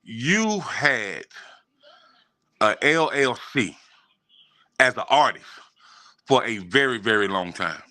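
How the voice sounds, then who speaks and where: A man's voice: mid-range voice, big pitch swings, takes it slowly, long pauses, sharp tone, no echo. A man hosting a casual interview, speaking in slang and repeating phrases for emphasis. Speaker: interview host.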